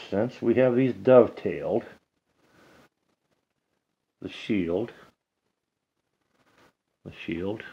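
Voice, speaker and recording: A middle-aged man giving a casual hands-on repair tutorial at a table indoors, talking slowly and haltingly, half to himself as he works. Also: fairly low pitch, a little mellow, breathy edge, highs cut off. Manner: slow, halting, talks to himself while working. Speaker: DIY hobbyist demonstrating a repair.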